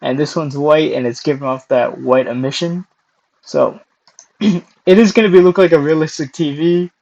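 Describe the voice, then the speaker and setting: Young man, hoarse losing voice, gravelly fry, slightly high, casual tutorial talk, desk mic, keyboard typing. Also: moderate pace, a little mellow, highs cut off. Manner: casual, many fillers, clears throat often. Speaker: tutorial host. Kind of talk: screen-recorded software tutorial.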